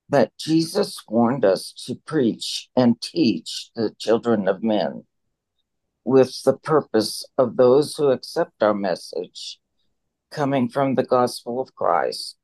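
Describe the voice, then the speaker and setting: A man's voice: medium pitch, a little mellow, poor audio. A man in an online study group, reading a scripture verse aloud and then talking it over plainly. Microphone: video call.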